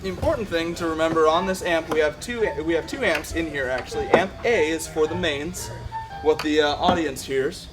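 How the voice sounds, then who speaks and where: A man with a slightly high-pitched voice walks through equipment setup as a tutorial presenter. He is practical and conversational, explaining things informally step by step, with music behind his voice. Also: moderate pace, low-quality recording. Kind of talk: informal hands-on product tutorial.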